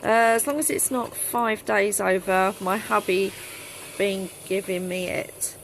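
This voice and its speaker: A woman, chatting casually on a live phone stream from a craft studio, slightly hoarse and rambling with many fillers. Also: medium pitch, a little slow, slight background noise, low-quality recording. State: slightly hoarse, losing her voice. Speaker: craft group host. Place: cluttered craft studio, indoors. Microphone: phone held at arm's length.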